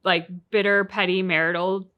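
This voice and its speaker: A woman's voice: mid-range voice, level tone, normal speed, dull audio. A woman casually talking through her reactions to a game as a reaction show host.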